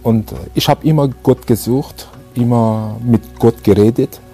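A middle-aged man with an Iranian accent gives a personal testimony in halting German, full of pauses and fillers. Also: medium pitch, mellow, faint music behind. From Iran. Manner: halting, many fillers and restarts. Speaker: interviewee.

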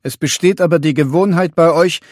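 A man putting on an uneasy Roman governor who presses with raised, questioning words. The voice sits a little high, recorded dry and close. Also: fairly quick, darkish tone, no echo, close to an everyday voice. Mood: pressing.

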